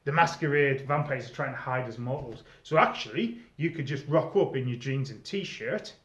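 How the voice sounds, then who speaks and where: A man's voice: mid-range voice, moderate pace, fairly bright tone. British man vlogging in an echoey room. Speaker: video host, experienced live role-player. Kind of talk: informal advice vlog talking to camera. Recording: roomy echo, slightly dull sound.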